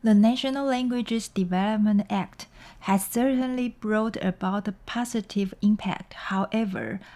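A woman researcher from Taiwan, whose first language is Mandarin, giving deliberate, structured interview answers. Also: mid-range voice, mellow.

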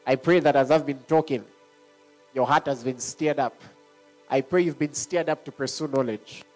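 A high-pitched man delivers a lively sermon, laughing often as he moves between points. Soft music plays faintly behind him. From Zambia. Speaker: preacher.

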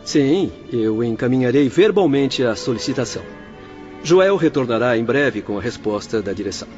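Guy acting calm kind doctor in a radio play, polite n formal, soft music behind, bit muffled. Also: medium pitch, moderate pace, lightly acted. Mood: calm, obliging. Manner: courteous, formal, reassuring. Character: kindly, composed male doctor of spirit infirmary. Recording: muffled audio with faint music.

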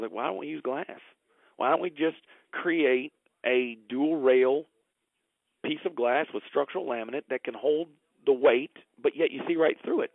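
A man's voice: medium pitch, breathy edge, no echo. Man on a thin phone line, storytelling. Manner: folksy, enthusiastic storytelling, quoting past conversations. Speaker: company founder and president being interviewed. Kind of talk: casual interview chat, telling anecdotes. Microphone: telephone.

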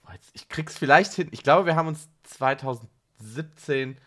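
Male podcast co-host, medium pitch, loose chatty delivery, self-mocking jokes and playful overstatement. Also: normal speed, a bit bright. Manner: self-ironic, joking, prone to exaggeration. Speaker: podcast co-host, social worker and workshop leader. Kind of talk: casual conversational podcast chat.

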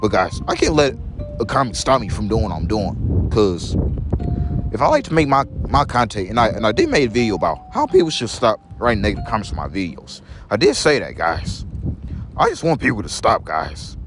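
A young St. man talks straight to his viewers in a loose, rambling way, looping back over the same phrase again and again. His pitch jumps all over the place, climbing high and then dropping low. Music plays clearly behind him. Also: huge pitch swings, fairly quick. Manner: repeats each phrase several times, keeps addressing viewers as "guys". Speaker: vlogger. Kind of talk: rambling casual monologue to viewers. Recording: music playing in the background.

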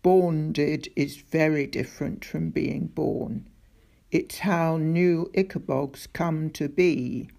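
Deep slow gentle giant creature for a storybook, voiced by a woman, gravelly and dark. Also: exaggerated voice. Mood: solemn. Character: huge gentle old monster, slow and solemn.

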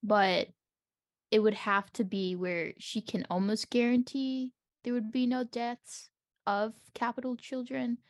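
A woman's voice: a little high, fairly even intonation, measured pace, dry recording, clean studio sound. A woman co-hosting a podcast, talking casually through a book with others. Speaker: podcast co-host.

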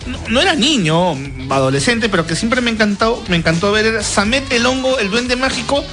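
A man's voice: very high voice, animated, fairly quick. A man hosting a casual radio chat, talkative, often repeating himself and tagging his phrases with little questions. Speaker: radio show host. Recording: music playing behind the voice. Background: music bed.

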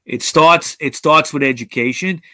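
Blunt, bright-voiced man. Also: fairly high pitch, moderate pace, dry recording. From United States. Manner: blunt, swearing, many restarts. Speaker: podcast host. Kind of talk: opinionated casual talk.

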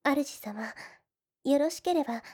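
A woman voicing a gentle, devoted slime woman in an ASMR fantasy audio drama, speaking politely and a little shyly. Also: high voice, animated, unhurried. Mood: polite, awed. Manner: soft, polite, deferential, shyly hesitant. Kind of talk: Japanese ASMR situation voice drama.

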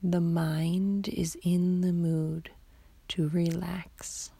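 Woman doing sleep meditation, half whispering, low creaky voice, soothing and really close and dry. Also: flat delivery, no echo. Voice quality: soft, breathy, half-whispered. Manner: slow, soothing, long pauses between short phrases. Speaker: meditation guide.

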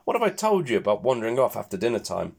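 A man voicing a frightened, fussing mother pig in a storybook, shrill and high. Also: very animated, quick pace, vocal fry, a little mellow. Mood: worried. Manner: shrill and fussy.

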